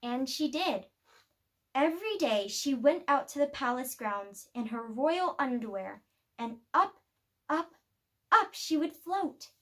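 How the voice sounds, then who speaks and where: A woman reading a children's picture book aloud, telling the story expressively with deliberate pauses. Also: high voice, expressive, fairly bright tone. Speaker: storybook reader.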